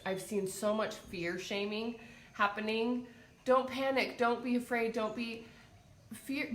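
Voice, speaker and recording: A woman with a stuffy head cold who hosts as a psychic and talks in a gentle, soothing way. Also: medium pitch, reverberant. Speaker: psychic and meditation host. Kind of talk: casual livestream talk.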